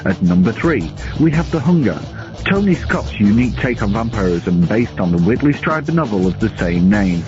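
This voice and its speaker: A man's voice: fairly low pitch, big pitch swings, moderate pace. A man reading a scripted film countdown as a guest reviewer. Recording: dull lo-fi recording under music. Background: music.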